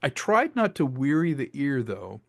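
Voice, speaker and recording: A man's voice: medium pitch, sing-song, moderate pace, creaky. A man speaking casually as an interviewed creator, explaining his craft and acting out imagined remarks as he goes. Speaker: interviewed filmmaker and audio drama creator.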